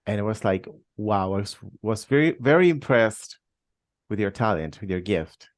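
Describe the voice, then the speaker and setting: Low-voiced male English-teacher interviewer. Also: animated, moderate pace. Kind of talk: casual interview.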